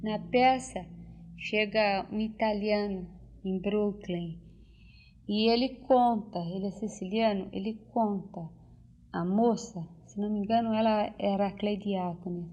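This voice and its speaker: An older woman, recalling things as an interviewee and often pausing to search for words and restart. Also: mid-range voice, steady tone. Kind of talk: casual spoken reminiscence. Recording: dull lo-fi tape recording.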